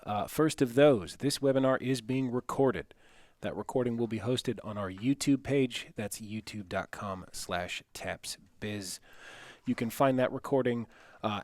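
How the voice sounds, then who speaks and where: A man's voice: medium pitch, darkish tone, dry recording. A man hosting an online webinar, giving housekeeping announcements in an informal, matter-of-fact way. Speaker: webinar host and moderator.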